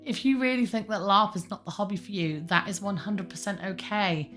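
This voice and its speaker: A woman with a croaky voice, giving rambling, chatty advice to her viewers with self-mocking asides. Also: medium pitch, fairly quick, a bit bright, soft background music. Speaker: larp advice host. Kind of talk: casual advice monologue.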